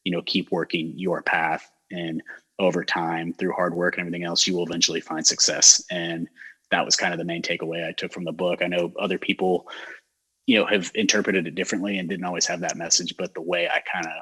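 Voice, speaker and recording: Low husky guy hosting a local show, neutral american accent, rambles with lots of um's. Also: fairly quick. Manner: relaxed and rambling, many fillers and restarts. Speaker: mayor hosting a community talk show. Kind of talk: casual interview chat.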